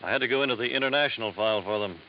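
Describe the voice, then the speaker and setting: A man's voice: mid-range voice, racing, close to an everyday voice. An actor playing a matter-of-fact male federal agent giving a businesslike briefing in a 1950s radio drama. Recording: dull lo-fi radio broadcast with hiss.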